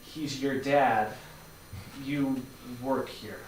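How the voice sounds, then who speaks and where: A man's voice: medium pitch, fairly even intonation, extremely slow. A man acting in a staged play reading as a skeptical, grumbling customer with a dry, wry delivery. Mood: bemused. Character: skeptical, dryly grumbling male customer, a bakery regular. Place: live staged reading in a room. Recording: roomy, distant mic, faint noise.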